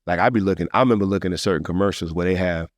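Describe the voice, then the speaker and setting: Deep-voiced man, earnest and emphatic. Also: racing, no room sound, clean studio sound. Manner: earnest, emphatic, slangy, often repeats and circles back to phrases. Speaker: podcast host. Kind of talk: casual podcast conversation.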